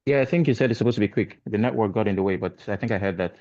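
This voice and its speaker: A man who works in radio, speaking as a panelist on a video call in a relaxed, joking way. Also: fairly low pitch, very fast, no echo. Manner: relaxed and self-deprecating, joking. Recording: dull lo-fi call audio.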